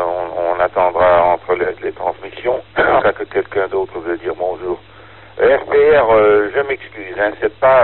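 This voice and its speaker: An older man talking casually over amateur radio, giving call signs and speaking in a hesitant, halting way. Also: lowish voice. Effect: two-way radio. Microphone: radio transceiver microphone. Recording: narrow, lo-fi two-way radio link.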